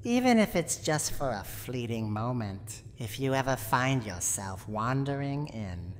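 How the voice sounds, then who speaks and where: Gruff old granny of a haunted house, teasing, lively intonation, long reverb over noticeable noise. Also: female voice, extremely low pitch, unhurried, full character voice. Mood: softening, secretive. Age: older. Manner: menacing yet secretly tender, theatrical. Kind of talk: scripted roleplay ASMR monologue.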